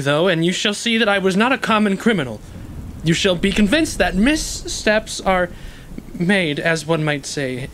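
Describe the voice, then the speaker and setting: An actor playing an anxious, educated ex-convict who justifies himself in a halting, earnest stage-play delivery. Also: male voice, high voice, fairly bright tone. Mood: insistent, pleading. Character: guilt-ridden educated ex-convict man, anxiously self-justifying. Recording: clearly noisy, rain-like ambience behind.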